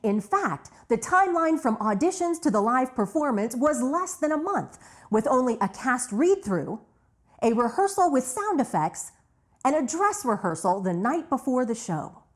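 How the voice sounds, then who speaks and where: A woman's voice: high voice, very animated, normal speed. A woman reading scripted narration for a television documentary.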